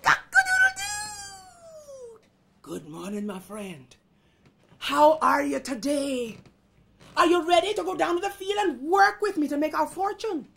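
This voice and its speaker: A woman storyteller voicing a kind, patient, hardworking male rooster in an earnest, drawn-out way. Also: fairly high pitch, big pitch swings, a bit bright. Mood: hopeful, urging. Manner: earnest, soothing, stretched crowing calls.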